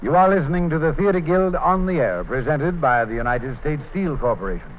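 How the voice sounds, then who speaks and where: A man's voice: a little high, lively intonation, a little fast, a little hiss or hum. A man formally announcing a 1950s radio show from a script. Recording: dull 1950s radio recording.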